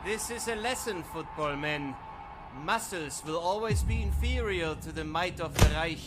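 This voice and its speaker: An actor playing a menacing Nazi commander in a video game, pompous and sneering. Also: male voice, a little high, normal speed, loud music behind the voice, lightly acted. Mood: menacing contempt. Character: menacing, theatrical Nazi commander and former dentist. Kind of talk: video game villain acting.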